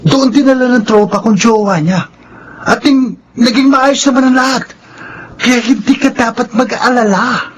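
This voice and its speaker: Young Filipino son, high voice, agitated, insistent, radio drama, faint noise. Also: male voice, normal speed, rough recording. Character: indignant, image-conscious young son and brother.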